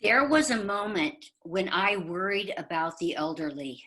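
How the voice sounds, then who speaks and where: A woman's voice: lowish voice, lively intonation, measured pace, pushed and bright. An older woman giving a performed reading of jokes over a video call. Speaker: readers theater performer.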